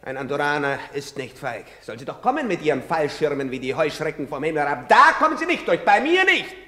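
A drunken soldier in a stage drama, boastful and bullying. His high voice swings wildly through slurred bluster, ringing in a very echoey hall. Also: sing-song, fairly quick, pushed and bright. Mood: boastful, mocking. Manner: slurred, repetitive drunken bluster with laughing and outbursts. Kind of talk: theatrical stage acting. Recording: echoey theatre hall, long reverb.

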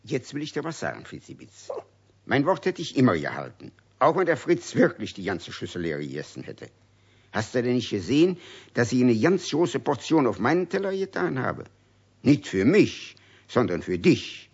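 A man playing a kindly, indulgent older uncle with a husky voice, good-natured and mock-stern, in a children's radio play. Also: mid-range voice, huge pitch swings, moderate pace, darkish tone, exaggerated voice. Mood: firm, placating. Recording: dull old radio recording.

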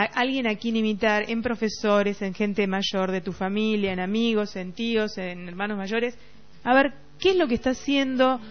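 A middle-aged woman with a Rioplatense Argentine accent, talking reflectively and casually as a radio host, often searching for words. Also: mid-range voice. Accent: Rioplatense Argentine Spanish. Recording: dull lo-fi radio recording, music behind. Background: music.

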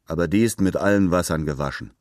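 A man's voice: deep voice, quick pace, a little mellow, no echo, studio quality, natural voice. A man playing a cocky young womanizer who brags in colloquial slang, in a radio drama. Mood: bragging, casual. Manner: colloquial, bragging.

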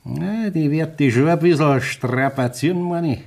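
An actor playing a wry middle-aged Bavarian drinking companion in a radio drama, teasing in colloquial dialect. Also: male voice, a little high, moderate pace, gravelly, darkish tone. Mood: teasing, amused.

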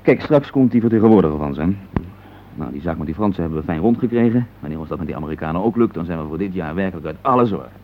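An actor playing a busy, dismissive and placating businessman husband in a radio play, with a brisk, patronising manner. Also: deep voice, lively intonation, a little hiss or hum. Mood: affable, businesslike. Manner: brisk, patronising, full of tag questions. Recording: dull lo-fi radio play recording.

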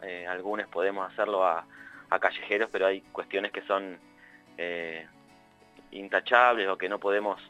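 Hombre de voz grave, argentino, por teléfono. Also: male voice, sits low, fairly even intonation, moderate pace, sharp tone, lo-fi sound, soft background music. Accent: Argentine Spanish. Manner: hesitant, many restarts and fillers. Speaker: radio columnist. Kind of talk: phoned-in radio column. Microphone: phone line.

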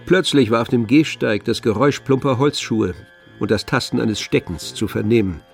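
A man serves as narrator of a literary radio drama. He speaks at a middle pitch, in a measured and grave manner. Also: gravelly, breathy edge, faint music behind.

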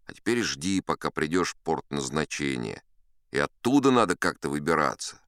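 An actor playing a seasoned, unflappable sea captain who spins tall tales in a chatty, wry, theatrical audiobook manner. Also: male voice, very deep voice, big pitch swings, normal speed, a bit bright, clean tone, no room sound, studio quality, heavily acted. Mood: wry, unhurried. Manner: conversational, wry, leisurely asides to the listener. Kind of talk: theatrical audiobook storytelling in first person.

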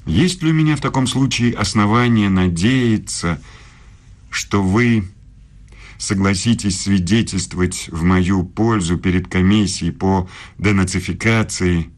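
An actor in a radio play voicing a proud, aggrieved older German philosopher, formal, measured and self-justifying. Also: male voice, deep voice. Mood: formal, pleading. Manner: measured, formal, deliberate speech.

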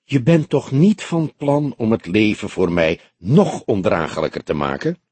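A man narrating plays a grizzled old police detective for an audiobook. The voice is husky and swings freely in pitch, with a shrewd, mocking, deliberate delivery. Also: sing-song, darkish tone, dry recording, exaggerated voice. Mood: wry, mocking.

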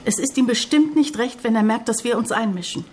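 A woman's voice: a little high, fairly quick, a little mellow, natural voice. An actress playing a polite, earnest and naive young woman in a German radio drama. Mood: anxious, polite. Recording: clear background noise with ambience.

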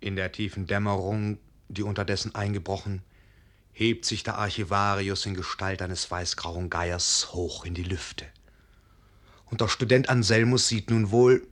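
Male narrator for classic radio drama, fairly low voice, measured and expressive literary storytelling.

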